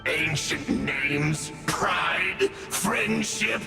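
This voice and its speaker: An actor playing an arrogant, cruel abyssal villain in a video game, with a grandiose, taunting, theatrical delivery. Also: male voice, fairly high pitch, lively intonation, drawn-out words, creaky, heavily acted. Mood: scornful. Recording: echoey long reverb, music behind.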